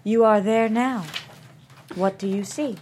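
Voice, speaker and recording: A woman's voice: mid-range voice, fairly even intonation, a little slow, a little mellow, slight background noise, natural voice. A woman playing a soothing, commanding hypnotist at a thriller table read.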